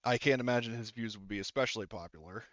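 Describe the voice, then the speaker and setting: Man, game master, smooth clear voice, improvised narration, halting and rambling, dry slightly dull microphone. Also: fairly low pitch, fairly bright tone, no room sound. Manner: halting, filler-heavy, rambling explanations.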